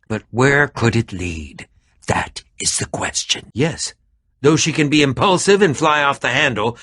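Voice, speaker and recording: A man playing an eccentric, middle-aged adventurer in an animated video game, theatrical and fussy, with a dry, pompous turn of phrase. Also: on the high side, exaggerated voice. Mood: curious, animated, then dry, musing.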